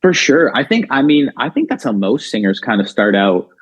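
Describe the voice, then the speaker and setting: A man's voice: mid-range voice, normal speed, slightly rough. A man who sings in a rock band, talking casually as a podcast guest, chatty with lots of fillers and restarts. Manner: talkative, many fillers and restarts, animated. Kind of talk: casual chat. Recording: dull, lo-fi sound.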